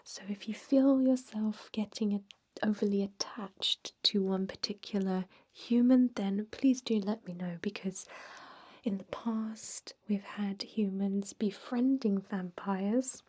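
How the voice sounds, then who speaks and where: A woman's voice: mid-range voice, slow. A woman playing a chatty, cynical vampire lab worker in a whispered ASMR roleplay, talking in casual, gossipy asides. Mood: casual, advising. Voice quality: breathy, soft whisper. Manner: casual, gossipy, hesitant filler-laden asides.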